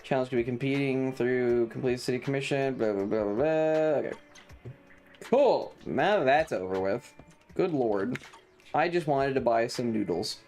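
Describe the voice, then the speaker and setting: A man gives casual, sarcastic game commentary in a mid-pitched voice that swings widely. He sounds exasperated and dismissive as he reads and chats, with game music behind him. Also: expressive, unhurried, darkish tone. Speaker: live streamer. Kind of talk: casual chatty gameplay commentary while reading game text.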